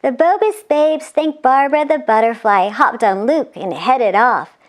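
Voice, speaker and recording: A woman doing an animated read-aloud for children, exclaiming, chuckling and talking directly to her young listeners. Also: sits high, unhurried. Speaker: children's storybook reader and channel host.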